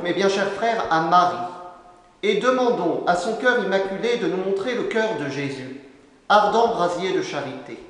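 A young man preaching a formal sermon from a lectern, solemn and measured, phrase by phrase, recorded in a large church. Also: sits high. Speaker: canon preacher. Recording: long echo of a large church.

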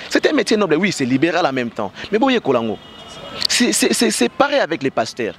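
Emphatic Congolese man, higher voice, with a cold. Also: very animated, fast talker, slight background noise. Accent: Congolese French. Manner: passionate, emphatic, heavy "bah" fillers, gestures while talking. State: has a cold. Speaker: interview guest. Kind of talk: street interview, mixing French and Lingala. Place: outdoors on a street by parked cars. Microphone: handheld mic with fur windscreen held by interviewer.

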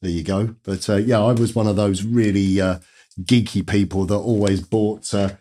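An English man in his fifties teaching guitar to camera, croaky, nasal and gruff from a cold, chatty and rambling. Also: lowish voice, fairly even intonation, moderate pace, mellow. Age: 50s. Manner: chatty, rambling, enthusiastic with fillers. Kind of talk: casual guitar lesson talk to camera. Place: dark studio indoors.

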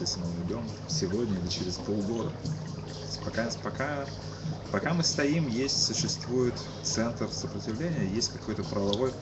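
A tired young man with a somewhat low voice talks haltingly, often breaking off and restarting. He is recorded outdoors on a dull, lo-fi stream with loud street ambience all around him. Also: very noisy. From Kyiv, Ukraine. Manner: hesitant, halting, many restarts. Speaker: interviewee, musician. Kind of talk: casual live-stream interview. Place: outdoors at a street protest camp.

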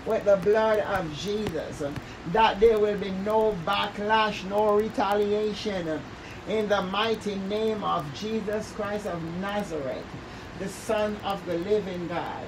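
A woman leading fervent prayer, raspy-voiced, with intense repeated declarations and speaking in tongues. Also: medium pitch, takes it slowly. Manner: intense, repetitive prayer, breaks off to check audio. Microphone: phone or earpiece mic. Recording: distant mic with clear background noise.

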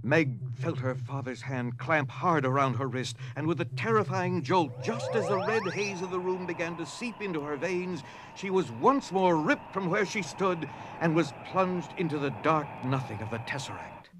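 A man's voice: on the high side, moderate pace, hardly any pauses. Male dramatic narrator with background noise.

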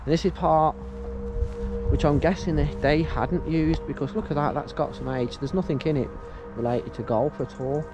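A man's voice: a little high, fairly even intonation, moderate pace. A man, vlogging as he explores an abandoned site outdoors, thinking aloud and often calling out to his viewers. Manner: chatty, thinking aloud, often says "guys". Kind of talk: casual walking commentary. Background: background music.

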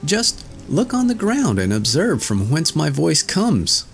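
A man voicing a thumb-sized, brave and mischievous boy in a storybook reading, high and loud. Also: wildly swooping pitch, measured pace, gravelly, soft background music, exaggerated voice. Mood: eager.

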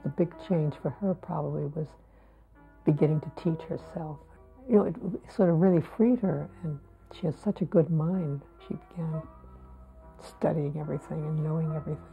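A woman's voice: sits low, steady tone, normal speed, vocal fry, narrow, tinny audio, faint music behind. A woman speaking in an interview, giving a considered appraisal of a friend's work. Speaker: fellow potter and friend. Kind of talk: interview commentary.

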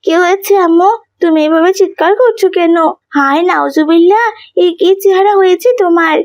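A woman's voice: extremely high pitch, moderate pace, a little mellow, no echo. A woman voicing a young schoolgirl in a dramatic family cartoon, earnest and childlike. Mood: shocked, scornful.

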